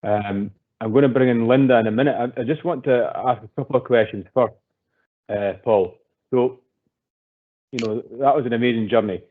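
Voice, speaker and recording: A man's voice: mid-range voice, moderate pace, narrow, tinny audio. A man hosting an online masterclass, thanking the speaker and asking long, friendly, rambling questions full of fillers. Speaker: event host.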